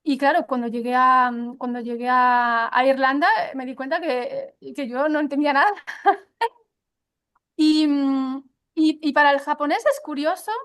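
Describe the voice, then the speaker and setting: A woman's voice: high voice, fairly even intonation, normal speed, fairly bright tone. A woman speaking as a guest pronunciation teacher, explaining with many fillers and restarts. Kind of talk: conversational interview answers.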